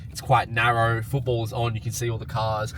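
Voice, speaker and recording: A young man vlogs with a stuffy, nasal head-cold voice, chatty and upbeat and full of "like" and "um." His pitch sits mid-range for a man. Clear car and street noise runs under him. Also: completely flat delivery, fairly quick, a bit bright. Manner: chatty and upbeat, many fillers. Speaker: running vlogger. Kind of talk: casual vlog talk to camera. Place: in a car, then at an outdoor sports field. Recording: noisy car and outdoor sound.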